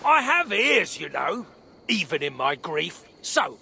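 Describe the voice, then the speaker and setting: A gruff British dwarf broker in a fantasy video game. He is blunt and irritable, with a sharp, high-set, gravelly voice that swings widely in pitch. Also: very animated, slow pace, a little hiss or hum, heavily acted. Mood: angry, bitter. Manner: blunt, irritable, swearing slang. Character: gruff, grumbling, grieving dwarf broker, crude-tongued. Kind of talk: video game cutscene acting.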